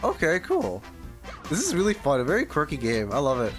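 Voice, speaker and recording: A man's voice: on the high side, wildly swooping pitch, brisk. Young guy streamer reacting to a game, casual rambly talk, very up n down, bit of music. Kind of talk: casual live gameplay reaction. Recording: light game music behind. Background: video game music.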